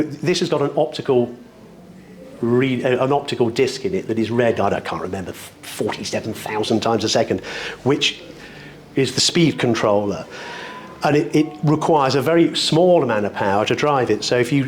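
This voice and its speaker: A British man presenting products at a hi-fi show, talking off the cuff in an articulate, discursive, wryly enthusiastic way with florid wording. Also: medium pitch. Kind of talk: unscripted product demonstration talk.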